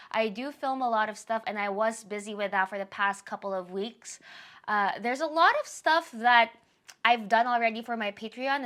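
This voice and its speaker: Bright-voiced woman chatting to camera. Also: mid-range voice. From Philippines. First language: Tagalog. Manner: chatty, apologetic, rambling with self-corrections. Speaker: YouTuber giving a channel update. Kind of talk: casual chat to camera.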